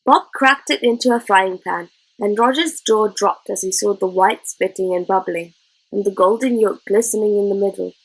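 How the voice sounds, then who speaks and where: A woman reading a children's story aloud in an expressive narrating style. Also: medium pitch, moderate pace, breathy edge, poor audio. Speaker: storybook reader.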